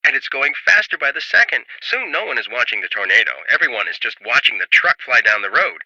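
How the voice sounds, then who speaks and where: Lo-fi game voice: wry storm chaser, sharp, swooping delivery, mocking raconteur. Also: male voice, mid-range voice, very animated, fast, slightly rough, dry recording, exaggerated voice. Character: animated male storm chaser telling a wry anecdote. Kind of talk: storytelling in a video game.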